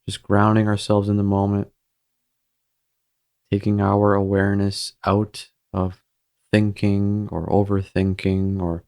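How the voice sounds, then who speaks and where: A man leading a guided meditation in a gentle, soothing, measured manner with pauses between phrases. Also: deep voice, vocal fry.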